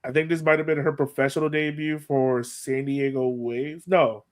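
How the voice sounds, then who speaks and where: Higher-voiced man chatting on a podcast. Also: fairly even intonation, normal speed. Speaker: podcast host. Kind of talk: casual sports podcast chat.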